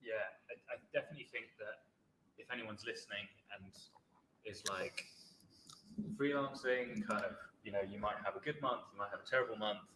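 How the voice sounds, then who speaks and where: A man's voice: lowish voice, brisk. A man hosting a podcast, asking questions in a chatty, loose way. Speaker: podcast host. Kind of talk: casual podcast interview. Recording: roomy with faint background noise.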